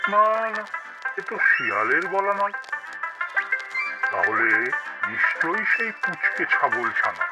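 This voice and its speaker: An actor voicing a vain, foolish, boastful tail-less tiger king in a comic cartoon, with theatrical, drawn-out exclamations. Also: a little high, full character voice, poor audio. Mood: wary, musing. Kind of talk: cartoon character acting in a comic animal tale. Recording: loud music behind.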